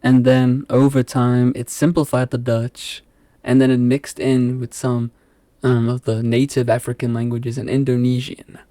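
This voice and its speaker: A man's voice: medium pitch, completely flat delivery, unhurried, hardly any pauses, mellow, no room sound. A young man from England whose first language is Afrikaans, speaking as a podcast guest in a rambling way with many hesitations. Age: young adult. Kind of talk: casual podcast interview.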